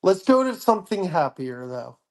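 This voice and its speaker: A man's voice: high voice, sing-song, moderate pace. A man in his thirties hosting a casual livestream chat, jokey and steering the conversation. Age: 30s. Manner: jokey, steers the talk along. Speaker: livestream host.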